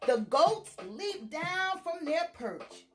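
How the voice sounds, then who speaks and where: A woman reading a children's story aloud in an expressive, dramatic storytime style with drawn-out words. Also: extremely high pitch, music in the background.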